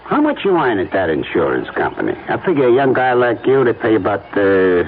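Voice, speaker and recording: An actor playing a sleazy, falsely chummy blackmailing photographer with an American accent and a folksy, wheedling, slangy way of talking, in old radio drama style. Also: male voice, lowish voice, huge pitch swings, fairly quick. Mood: sly, pressing. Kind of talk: golden-age radio drama acting. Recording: tinny, hissy old radio broadcast.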